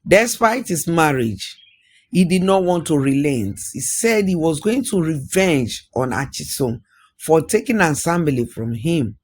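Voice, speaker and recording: Male storyteller, unhurried pace, raised pitch, dramatic emphasis, oral folktale telling. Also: a little mellow.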